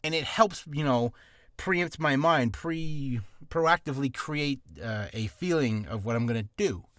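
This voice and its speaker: A man's voice: mid-range voice, a little slow, a bit bright, no echo, clean studio sound. A man giving beginner voice-acting advice in a casual vlog, nervous and rambling, with self-deprecating asides, from a small, hot, cramped room.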